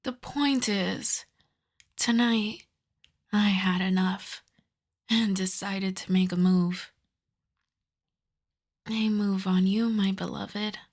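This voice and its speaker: A woman voicing a seductive, lovestruck vampire in a soft, breathy, intimate ASMR whisper, coaxing and doting. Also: mid-range voice, steady tone, slow, long pauses. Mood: possessive, playful.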